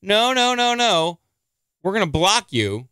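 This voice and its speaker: A man's voice: high voice, very animated, sharp tone, clean tone, dry recording, clean studio sound. A man hosting a live stream in a chatty, rambling way, a little congested and nasal. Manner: chatty, rambling, quick to gripe. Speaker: podcast host. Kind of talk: casual live-stream talk.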